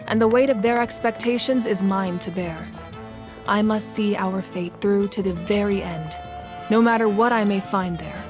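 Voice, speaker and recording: A woman voicing an adult female ruler of a land in a video game, speaking in a measured, composed and thoughtful way. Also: medium pitch, close to an everyday voice. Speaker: ruling Archon. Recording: game audio with faint music behind.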